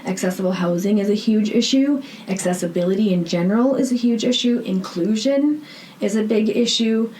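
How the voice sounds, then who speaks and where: A woman's voice: medium pitch, normal speed, dark tone, rough recording. A woman with a Canadian accent answering interview questions conversationally, earnest and emphatic, often checking points with "right?". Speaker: podcast guest, founder of a rehab centre. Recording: noticeable background noise.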